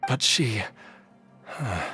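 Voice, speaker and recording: A man playing a troubled, determined game character haunted by lost memories, speaking haltingly and earnestly. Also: lowish voice, very animated, bright, forceful tone, soft background music, full character voice. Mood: troubled, recalling. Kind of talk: video game voice acting.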